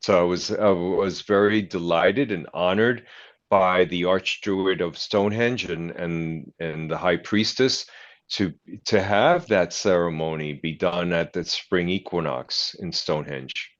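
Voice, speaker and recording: An older man with a lowish voice talks very slowly as a guest in an interview. He is earnest and deliberate, stopping and repeating himself as he reflects. The sound is somewhat dull and lo-fi. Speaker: interview guest, priest and author.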